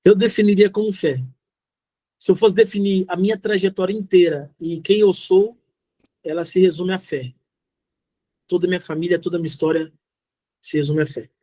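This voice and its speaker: A middle-aged man with a Brazilian accent and a rather high voice, recorded dry and close. He talks like a motivational guest speaker, informal and direct, driving key phrases home by repeating them. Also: steady tone, moderate pace, pauses often, mellow, no echo. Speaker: guest speaker, social entrepreneur. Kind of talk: motivational talk answering audience questions.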